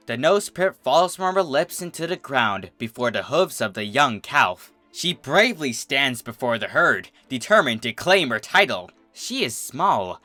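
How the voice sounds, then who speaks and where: A man's voice: medium pitch, sharp tone, no echo. A man reading a story aloud as a narrator, in a dramatic, scripted storytelling style. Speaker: fan fiction reader, narrator.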